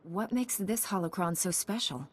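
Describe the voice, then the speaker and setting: A principled, composed knight heroine from a video game. Her voice is of middle pitch. She speaks directly and firmly. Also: lively intonation, moderate pace, husky. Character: principled, composed young female Jedi knight.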